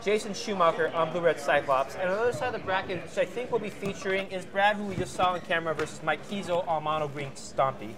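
A man commentating a card tournament in a relaxed, casual way, asking his co-host for opinions. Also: high voice, fast talker, hardly any pauses. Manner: easygoing, asks co-host questions. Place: card shop event. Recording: crowd noise behind.

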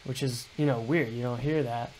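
A man's voice: fairly even intonation, normal speed, poor audio. A young man, a music producer, giving a laid-back, casual screen-share tutorial. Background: synth bass sounds.

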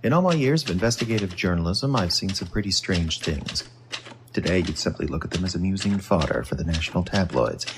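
An actor playing a world-weary retired newspaper reporter, narrating an audio drama in an earnest, wry first person. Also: male voice, low-pitched, expressive, brisk, background noise. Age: older.

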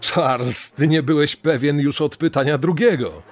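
A man playing an incredulous TV quiz show presenter in a dramatized reenactment, with deliberate delivery. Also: on the high side, soft background music, lightly acted. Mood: teasing.

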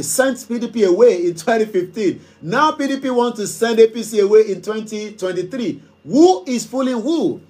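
Man, Yoruba Nigerian accent, fairly high voice, emphatic, radio host reading comments. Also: darkish tone. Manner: emphatic, questioning, switches into Yoruba. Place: studio room before green screen.

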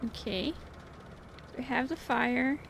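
A woman's voice: a little high, slow pace, creaky, a bit bright, a little hiss or hum. A woman giving casual gameplay commentary on a horror game, playfully thinking aloud as she goes. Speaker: gaming video host playing a horror game.

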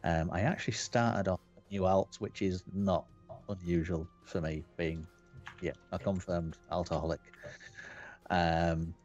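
A man's voice: low voice, steady tone, measured pace, a little mellow, dull audio, soft background music. A man from West Yorkshire with a Yorkshire accent, hosting a casual game webcast in a chatty, rambling way with lots of fillers. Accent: Yorkshire English. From West Yorkshire, England. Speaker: podcast host. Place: home room lit red.

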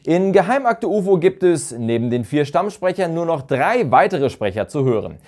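A man in his thirties presenting a scripted review in measured, formal phrasing. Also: fairly high pitch, very animated, darkish tone. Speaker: reviewer, channel host. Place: small furnished room indoors.

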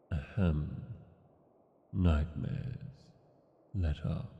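A man playing an arrogant, brooding dragon king in a fantasy ASMR audio roleplay, speaking deliberately with long pauses and a haughty manner. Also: low-pitched, vocal fry, mellow, full character voice. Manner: slow, deliberate, pausing, haughty. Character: arrogant, brooding male dragon king reading his journal. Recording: muffled, long cavernous reverb.

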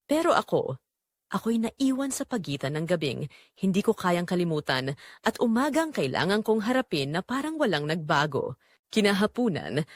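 A woman's voice: on the low side, dry recording, clean studio sound. A woman playing a guilt-torn wife and mother confessing a secret in an intimate, dramatic audio drama narration, with a Filipino accent. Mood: haunted, restrained.

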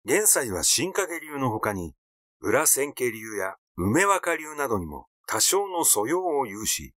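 A man reading literary fiction aloud as a narrator, in a measured storytelling delivery. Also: medium pitch, sing-song, fairly bright tone, dry recording, clean studio sound. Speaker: audiobook narrator.

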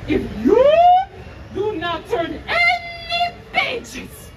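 A woman voicing a nervous, melodramatic male storybook monster, pleading in drawn-out, wailing phrases. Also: very high voice, big pitch swings, exaggerated voice. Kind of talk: children's storybook character acting. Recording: distant phone recording, noisy outdoor crowd, echoey.

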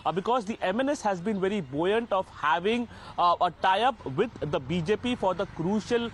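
High-pitched Indian man reporting live outdoors. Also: lively intonation. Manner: halting, repeats and restarts phrases. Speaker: news reporter. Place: outdoors on a city street. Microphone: handheld reporter microphone. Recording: outdoor live link with street noise.

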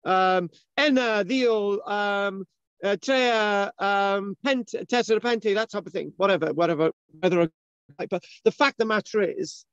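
A man's voice: high-pitched, measured pace, a bit bright, clean tone, no room sound. A man hosting a casual video-call discussion, rambling with restarts and asking "right?" often. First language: English. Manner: rambling, many restarts and fillers, asks "right?". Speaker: host. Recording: dull lo-fi video call audio.

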